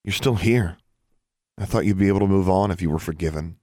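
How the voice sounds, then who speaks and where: A man voicing a fierce, grieving teenage girl witch in a storybook reading, with a high strained voice. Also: a little fast, no echo, studio quality. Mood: bitter. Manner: high strained voice, shouting to whispering.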